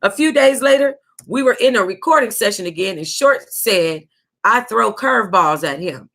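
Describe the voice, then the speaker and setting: A woman's voice: mid-range voice, normal speed. An American woman hosting a book club, reading a tell-all aloud in a casual, sassy way with reactive asides. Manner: sassy, animated reactions, breaking into laughter and asides.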